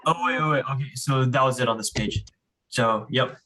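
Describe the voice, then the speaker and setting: A man's voice: mid-range voice, moderate pace, noisy background. A young man casually explaining and reading aloud to children as a reading club leader, with an informal way of talking peppered with "like" and "you know".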